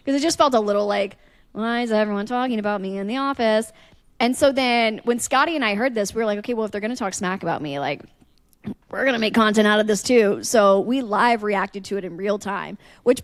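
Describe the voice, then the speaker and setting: A woman's voice: medium pitch, no echo. A woman chatting casually as a podcast host, telling a story and acting out what people said.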